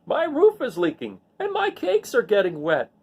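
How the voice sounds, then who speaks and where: A man's voice: very high voice, wildly swooping pitch, moderate pace, husky, pitched above the performer's own voice, heavily acted. A man voicing a fretful, plaintive baker in a storybook, worried about his wares. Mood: moaning. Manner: moaning, plaintive.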